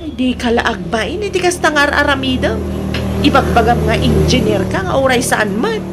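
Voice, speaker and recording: A nagging wife from a comedy radio serial. Her voice sits high and she talks in an exasperated, scolding way. There is clear noise in the recording. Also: very animated, normal speed. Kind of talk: comedic radio drama acting.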